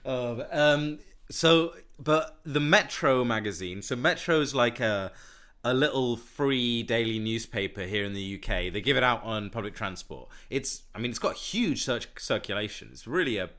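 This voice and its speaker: A man's voice: mid-range voice, normal speed, a bit bright. A man co-hosting a podcast, talking in casual, conversational chat. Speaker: podcast co-host.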